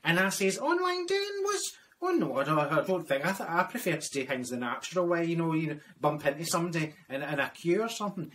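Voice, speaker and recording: A gossipy older Scottish widow rambles on with dry little asides, as a man puts her on. Her pitch swings widely and sits a touch high for a man, with a faint hiss in the background. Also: animated, fast talker, hardly any pauses, a bit bright. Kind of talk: comic character monologue.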